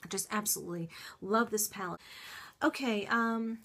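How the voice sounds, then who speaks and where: A woman's voice: mid-range voice, normal speed, fairly bright tone. A middle-aged woman vlogger, congested, chatting casually through her favorite beauty products with lots of gushing and little ums. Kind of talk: casual favorites review to camera.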